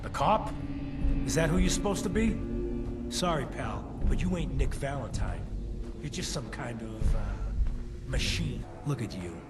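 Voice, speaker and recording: A man's voice: medium pitch, expressive, a little slow, pauses often, recorded from a distance, over loud music, lightly acted. An actor playing an arrogant old gangster turned ghoul in a video game, talking in a mocking wise-guy manner. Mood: scornful. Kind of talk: old-time mobster game dialogue.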